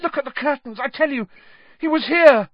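A man's voice: high voice, big pitch swings, unhurried, no echo, muffled recording, pitched above the performer's own voice, heavily acted. A man voicing a terrified teenage boy in an audiobook, frantic and insistent, with urgent exclamations in a strained voice. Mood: panicked.

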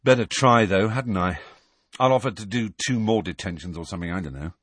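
A man's voice: fairly low pitch, brisk, a bit bright, no room sound. A man voicing a weary, glum teenage boy wizard who talks with dry sarcasm.

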